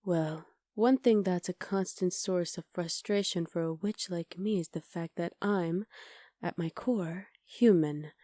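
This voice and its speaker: A woman performs a powerful, haughty witch in a low, dark and mellow voice. She speaks softly and formally, with measured menace and an imperious air. The sound is dry and intimate, as in an ASMR roleplay. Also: no room sound. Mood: calculating, proud.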